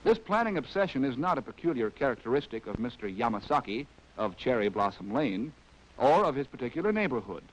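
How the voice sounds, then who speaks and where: A man's voice: mid-range voice, sing-song, brisk, low-quality recording. An American man narrating a scripted 1940s training film in a wry, arch, theatrical way, on a vintage film soundtrack.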